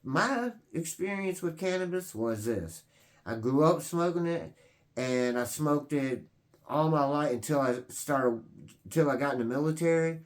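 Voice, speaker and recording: A man's voice: fairly high pitch, lively intonation, unhurried. A man in his late forties hosting his own video channel, talking casually and earnestly, rambling with many fillers and restarts. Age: 40s. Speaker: channel host. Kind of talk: casual vlog talk.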